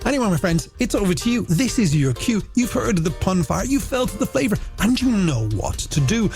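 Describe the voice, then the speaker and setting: A man's voice: sits high, big pitch swings, normal speed, gravelly, a little mellow. A middle-aged man, presenting a late-night music radio show in a playful, theatrical, polished way on a studio microphone. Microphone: close studio broadcast microphone. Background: music bed.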